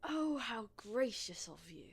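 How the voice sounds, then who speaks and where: A woman's voice: a little high, big pitch swings, takes it slowly, creaky, husky, heavily acted. A woman playing a sarcastic, wary, lonely but plucky human in an audio drama, in a naturalistic, informal and emotive way.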